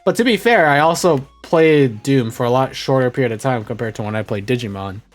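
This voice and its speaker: A young man chatting casually as a streamer, rambling and correcting himself, often trailing off quietly. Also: medium pitch, animated, brisk, faint music behind. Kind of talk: casual rambling chat while gaming.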